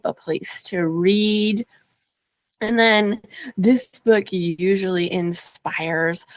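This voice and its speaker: A woman's voice: medium pitch, gravelly, no echo. A woman preschool teacher giving an informal, explanatory talk recommending picture books. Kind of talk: casual book recommendation talk.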